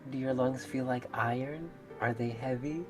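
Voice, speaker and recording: A woman voicing a mermaid in a visual novel, measured, formal and coolly reasonable, politely menacing. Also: rumbling low. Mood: gently solicitous. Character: calm, menacing female mermaid, politely murderous. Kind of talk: visual novel character read-aloud. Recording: music behind the voice.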